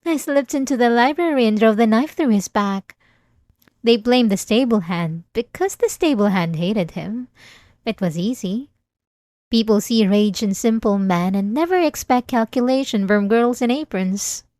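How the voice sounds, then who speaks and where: A woman playing an obsessed young maid in a scripted ASMR roleplay, devoted and quietly menacing, with formal, composed, intimate delivery. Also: fairly high pitch, lively intonation, moderate pace, no room sound, studio quality, lightly acted. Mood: gleeful, proud.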